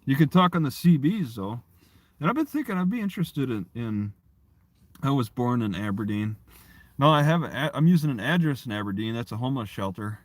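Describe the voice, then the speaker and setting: Halting man rambling on a livestream. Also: mid-range voice, animated, dry recording. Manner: halting, repeats and restarts phrases. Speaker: live streamer. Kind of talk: casual rambling livestream chat.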